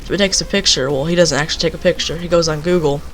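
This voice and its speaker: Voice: medium pitch, fairly even intonation, very fast, slight background noise. A young person telling a casual, rambling story, leaning on filler phrases. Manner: rambling, repeats filler phrases like "basically" and "you know".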